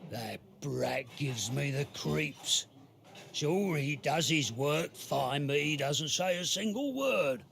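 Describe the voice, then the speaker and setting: An actor playing a coarse, cruel shopkeeper and slave trader in a radio drama, gravelly and rough-spoken. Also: male voice, fairly high pitch, animated, slow, a bit bright, heavily acted. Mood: contemptuous, angry. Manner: rough colloquial speech, insults. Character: coarse, cruel slave-trading shopkeeper who fawns on nobility. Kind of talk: scripted radio drama acting.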